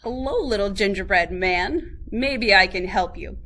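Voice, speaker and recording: Woman voicing a smooth-talking sly fox. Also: mid-range voice, lively intonation, unhurried, bright, forceful tone.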